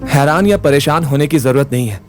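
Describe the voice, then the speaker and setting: A man's voice: mid-range voice, fairly quick, music in the background, lightly acted. An actor playing a brash, confident young man who takes charge in an audio drama, with a blunt, swaggering manner. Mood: reassuring. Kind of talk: Hindi audio drama acting.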